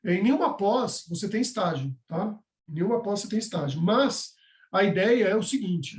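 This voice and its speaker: Instructor, male, high-pitched, conversational explainer, echoey room. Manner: conversational, explaining step by step with frequent "tá?" checks. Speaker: course instructor. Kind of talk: live online class with course announcements.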